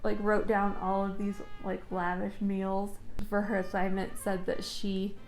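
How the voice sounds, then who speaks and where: A woman's voice: medium pitch, monotone, a little slow, soft background music. A young woman chatting casually while she does her makeup, telling stories in an easygoing, friendly way. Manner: chatty, warm storytelling with light laughs. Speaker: vintage lifestyle vlogger. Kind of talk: casual vlog chat while doing makeup.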